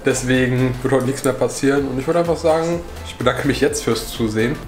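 A man's voice: medium pitch, fairly even intonation, a little fast, over music. A man in his thirties chatting and joking in a casual travel vlog, filming himself at arm's length outdoors. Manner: chatty, joking, addresses viewers directly. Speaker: travel vlogger. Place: outdoors in a city park and on a pedal boat. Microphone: handheld camera at arm's length. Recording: loud outdoor city noise.

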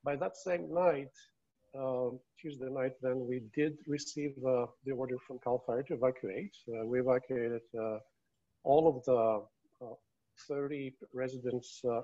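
A man in his fifties reports a timeline in a halting, searching manner. His mid-pitched voice comes through an earphone mic on a video call, a little dull in sound. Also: fairly even intonation, dark tone. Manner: halting, hesitant delivery. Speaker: observatory superintendent. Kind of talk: giving a factual timeline update.

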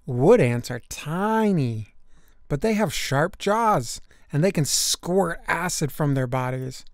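A man narrating a children's nature book aloud, with deliberate, emphatic storytelling for young listeners. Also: a little high, lively intonation, dry recording, clean studio sound.

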